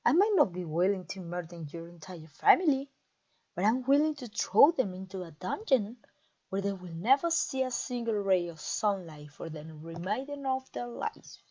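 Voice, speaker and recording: A woman playing a haughty, mocking vampire queen in a theatrical ASMR roleplay, pompous and teasing, with drawn-out phrasing. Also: mid-range voice, big pitch swings, mellow, highs cut off. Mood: coolly threatening. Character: haughty, mocking, conquering vampire queen taunting her captive. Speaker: audio roleplay voice actor.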